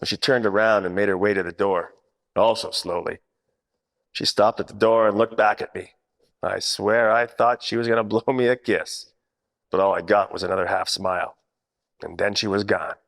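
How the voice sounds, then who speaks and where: An actor playing a wisecracking, world-weary 1940s private eye, narrating in a wry, hard-boiled radio-parody style. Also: male voice, lowish voice, steady tone, normal speed, gravelly, fairly bright tone. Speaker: actor narrating the lead part. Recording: long hall reverb, music underneath.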